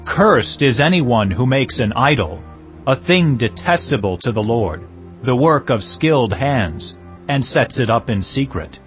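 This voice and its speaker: A man's voice: mid-range voice, lively intonation, a little slow, close to an everyday voice. Male actor as stern Levite priest for audio Bible, medium register, formal proclamation, music beneath. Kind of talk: dramatized audio Bible acting. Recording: dull band-limited audio over music. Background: background music.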